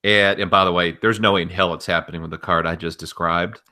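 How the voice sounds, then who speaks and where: A man's voice: low voice, steady tone, fast talker, bright, forceful tone. A man co-hosting a podcast, giving emphatic, opinionated commentary on wrestling in a casual conversational style. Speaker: podcast co-host.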